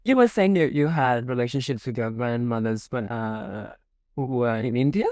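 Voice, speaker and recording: A man hosting an interview, asking curious questions and dropping soft agreeing hums between them. His voice is at a plain middle pitch. The sound is dry and close, with no echo. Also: lively intonation, moderate pace. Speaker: interviewer, host.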